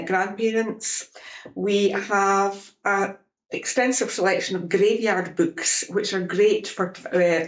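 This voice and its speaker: A woman's voice: mid-range voice, roomy sound. A woman from the west coast of Scotland with a Scottish accent, a librarian listing her archive's resources. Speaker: local studies librarian. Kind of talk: informative podcast talk.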